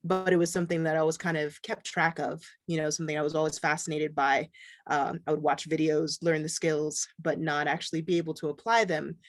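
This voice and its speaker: A man's voice: on the high side, fairly quick, no echo. Guy from barbados, laid-back panel chat on a video call, lots of um and you know, dry sound. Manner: relaxed, conversational with many fillers. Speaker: panelist artist. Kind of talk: panel discussion.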